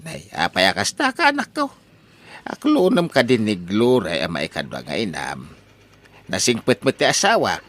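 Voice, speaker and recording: A man's voice: lowish voice, wildly swooping pitch, moderate pace, a bit bright, no echo. A man with a Filipino accent playing a stubborn, blustering old father in a comedy radio drama, full of exclamations. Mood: cheerful, bossy. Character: excitable, stubborn old father smitten with a young bride.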